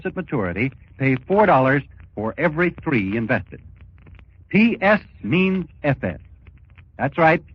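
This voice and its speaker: A man announcing on 1940s radio, giving a scripted pitch in an emphatic, measured, persuasive way. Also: slightly rough, dry recording. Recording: dull, noisy 1940s radio recording.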